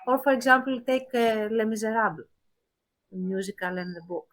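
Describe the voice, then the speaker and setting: A woman's voice: on the high side, brisk. Woman chatting thoughtfully on a podcast. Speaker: podcast co-host. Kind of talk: casual discussion.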